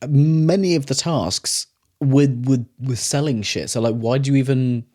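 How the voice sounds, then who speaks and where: A man's voice: medium pitch, measured pace, mellow. A man hosting a reaction video, making wry, sarcastic and sweary comments.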